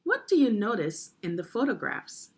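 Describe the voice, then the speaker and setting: Lady teacher doing a kids read along, slow n careful, bit gravelly, really expressive pitch. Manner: deliberate, clearly phrased, guiding young listeners with questions. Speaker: teacher narrating a children's read-along video. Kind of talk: instructional read-aloud of a children's nonfiction book.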